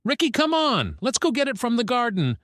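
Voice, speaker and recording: A man's voice: very high-pitched, huge pitch swings, fairly quick, no echo, pitched above the performer's own voice, heavily acted. A man acts out a cute young bear for a children's storybook. The voice sits well above an adult man's and bounces eagerly, full of enthusiasm, in a close, dry recording. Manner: enthusiastic, bright exclaiming. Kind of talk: children's storybook read-aloud.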